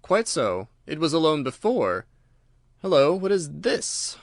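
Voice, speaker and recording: A man voicing a cool, self-assured detective with measured, smooth authority in a storybook reading. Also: a little high, very animated, no room sound, studio quality, exaggerated voice. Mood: brisk, pleased.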